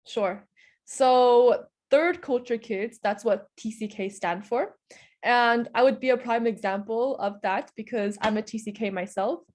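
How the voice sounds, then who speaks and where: A woman's voice: fairly high pitch, a little slow, darkish tone. A young woman from Hungary answering interview questions in an earnest, explanatory way, often hedging with small qualifiers. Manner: earnest, explanatory, frequent "in a sense" hedges. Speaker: interview guest.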